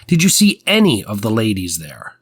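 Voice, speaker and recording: Lively-pitched, probing courtroom prosecutor acted by a man. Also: moderate pace, slightly rough, exaggerated voice. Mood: probing, formal. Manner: precise, formal questioning. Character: 1890s male prosecuting attorney, probing, formal, methodical. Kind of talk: courtroom transcript dramatized reading.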